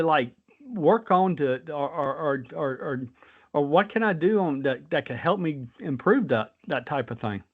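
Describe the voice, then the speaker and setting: A man hosting a casual interview in a folksy, rambling conversational way over a tinny phone-like line. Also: fairly high pitch. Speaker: guest host, former patrol officer.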